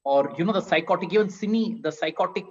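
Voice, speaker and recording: A man's voice: high voice, quick pace, darkish tone, highs cut off. A man with an Indian accent speaking as an author on a discussion panel, emphatic and often checking with "right?".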